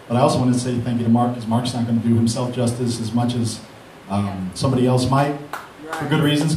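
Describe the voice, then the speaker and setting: A man with a middle-pitched voice speaks on a mic in a hall, thanking everyone in a rambling, self-deprecating way. His voice rings around the room and the mic sits some distance from him. Faint noise stays underneath. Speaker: beat producer. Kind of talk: speech at a live event on a mic. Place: event hall with an audience. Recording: echoey hall mic from far off.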